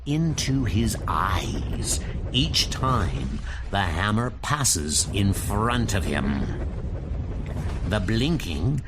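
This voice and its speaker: A man voicing a cunning, vengeful Norse god of mischief with theatrical, taunting, drawn-out delivery in a comic book dub. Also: on the low side, sing-song, noisy background, pitched below the performer's own voice, heavily acted. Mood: gloating, scheming.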